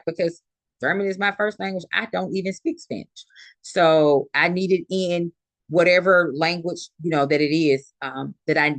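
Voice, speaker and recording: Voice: medium pitch, a bit bright, dry recording. A nursing instructor giving a recorded classroom lecture in a conversational way, restating each point and using many fillers.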